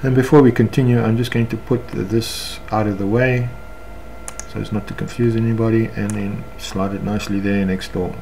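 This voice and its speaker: A South African man with a fairly low voice gives an unscripted software tutorial. He explains each step haltingly as he goes. Faint noise and room ambience sit behind his voice. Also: little pitch movement, vocal fry. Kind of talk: unscripted screencast walkthrough.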